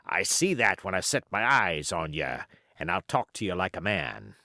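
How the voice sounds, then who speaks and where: Man performing a wily pirate cook, fifties, low pitch, swooping intonation, salty, boastful, storybook villain. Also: very animated, moderate pace, vocal fry, bright, forceful tone, no room sound, studio quality, full character voice. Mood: flattering, confiding. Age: 50s. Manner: salty, rambling, folksy boasting. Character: wily fifty-year-old one-legged pirate sea cook, boastful and flattering.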